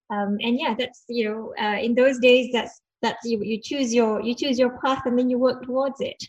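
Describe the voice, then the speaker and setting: A woman's voice: a little high, fairly even intonation, normal speed, dull audio. A woman in her forties from Malaysia, talking reflectively as an interview guest and often repeating words as she gathers her thoughts. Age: 40s. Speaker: podcast guest, doctor and cancer researcher.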